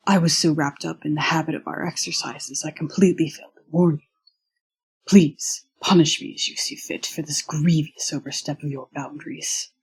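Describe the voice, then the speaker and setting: A performer voicing a formal, earnest young male college roommate with a husky voice and stilted, precise diction, in an audio story reading. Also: mid-range voice, fairly even intonation, normal speed, low-quality recording. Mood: apologetic, flustered.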